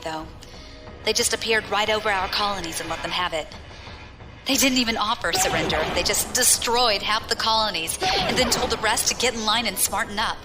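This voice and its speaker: A woman's voice: medium pitch, lightly acted. An actress playing a battle-hardened, proud young female pirate captain with a wry storytelling manner in a science fiction audio drama.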